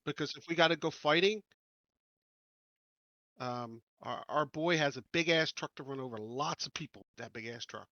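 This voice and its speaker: A man's voice: fairly high pitch, normal speed, pushed and bright, no echo, lo-fi sound. A man who is sick and sore when talking, playing a tabletop game in a blunt, folksy, casual way. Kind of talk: casual chat.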